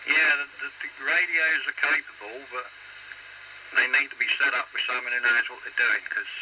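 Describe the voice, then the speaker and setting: A man's voice: medium pitch, moderate pace. A British man chatting casually and technically over CB radio in a blunt, matter-of-fact way. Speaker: CB radio enthusiast. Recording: muffled CB radio transmission with heavy static.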